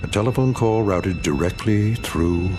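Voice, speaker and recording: A male narrator with a deep, low voice tells the story in a measured, ominous way. Faint music runs beneath his narration. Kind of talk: scripted dramatic narration.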